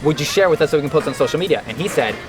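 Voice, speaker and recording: A young man from the Dominican Republic chats earnestly as a guest, his voice sitting a little high and sounding upbeat. He tells his stories with easy enthusiasm, and clear music runs underneath. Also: lively intonation, very fast. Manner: earnest and upbeat, yelping at the cold. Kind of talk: casual interview chat.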